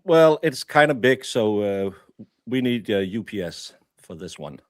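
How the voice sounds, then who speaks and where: A man with a Danish accent, the guest baker, who talks in a deadpan, hesitant way. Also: fairly low pitch, a little slow, dry recording.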